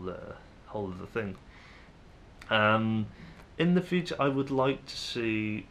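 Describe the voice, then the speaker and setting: A man's voice: mid-range voice, a bit bright, a little hiss or hum. A man in his twenties from the Manchester area of northern England, with a northern English accent, talking in a rambling, self-deprecating way to camera with many restarts. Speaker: vlogger. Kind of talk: casual monologue to camera.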